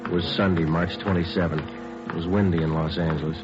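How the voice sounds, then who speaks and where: An actor playing a terse, matter-of-fact police detective sergeant in a 1940s radio drama, speaking in a clipped, flat manner. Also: male voice, sits low, brisk, over music, lightly acted. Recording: hissy muffled old radio broadcast.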